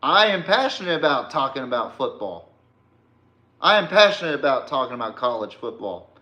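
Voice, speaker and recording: A man hosting an informal sports podcast, ranting bluntly and mockingly in a halting way with repeated words. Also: medium pitch, big pitch swings, bright, forceful tone, echoey room. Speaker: podcast host.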